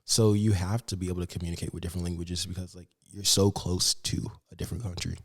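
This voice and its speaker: A young American man co-hosting a podcast, talking in a relaxed, chatty way with many fillers. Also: low voice, fast talker, husky. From United States. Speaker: podcast co-host. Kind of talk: casual podcast chat.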